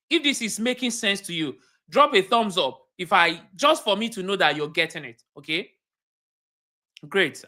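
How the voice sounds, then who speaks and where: Nigerian woman, career coach, lively swooping delivery, emphatic, repeats herself. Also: on the high side. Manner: emphatic, repeats key points, asks the audience questions. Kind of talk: livestream teaching talk.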